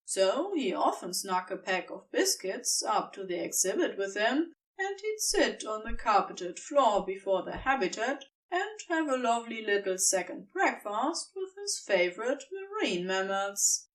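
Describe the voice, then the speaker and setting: Female fiction narrator, unhurried and deliberate, animated storytelling intonation. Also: fairly high pitch, recorded from a distance, low-quality recording. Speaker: audiobook narrator.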